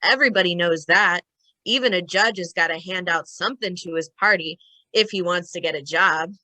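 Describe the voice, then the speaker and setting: A woman's voice: lowish voice, moderate pace, sharp tone, no room sound, low-quality recording. A woman playing an anxious, loyal gangster sidekick with slangy street talk in an old time radio drama. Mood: skeptical.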